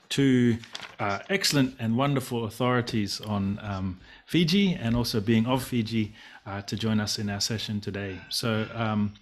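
Guy in his 40s hosting a video call panel, lowish voice, polite, pauses a lot between phrases. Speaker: host and interviewer. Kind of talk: introducing guests in an online panel. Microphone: computer mic on video call.

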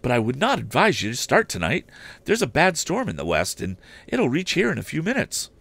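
A man's voice: on the high side, wildly swooping pitch, fairly quick, vocal fry, fairly bright tone, husky, dry recording, voice pushed up, heavily acted. A man voicing a courteous, formal, cautioning hotel proprietor in a storybook reading. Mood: apologetic, cautioning.

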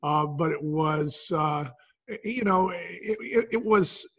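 A man's voice: a little high, slow pace. An older man talking as an interview guest, explaining things and telling stories in a conversational way. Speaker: podcast guest, business founder.

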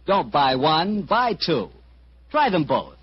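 Animated American radio announcer, male. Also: a little high, slow, husky. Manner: polished, salesmanlike. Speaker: announcer and sponsor pitchman. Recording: muffled, hissy old radio broadcast.

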